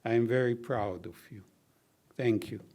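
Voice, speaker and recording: An older man with a baritone voice giving heartfelt closing thank-yous at a university reception, measured and gently humorous. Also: very noisy. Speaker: honoree giving closing remarks. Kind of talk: heartfelt formal thank-you speech.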